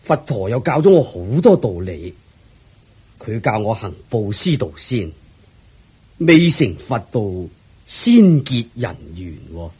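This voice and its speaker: An actor playing an elderly, generous lord in a radio drama, speaking in a slow, measured, approving way with a Hong Kong Cantonese accent. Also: male voice, on the low side, big pitch swings, darkish tone, breathy edge, exaggerated voice. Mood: contented. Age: older. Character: elderly kindly male lord, generous father-in-law.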